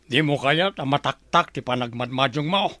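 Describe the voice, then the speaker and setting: A man's voice: medium pitch, moderate pace, sharp tone, no room sound, lightly acted. A man playing a grumbling old grandfather in an Ilocano radio drama. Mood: grumbling, reproachful.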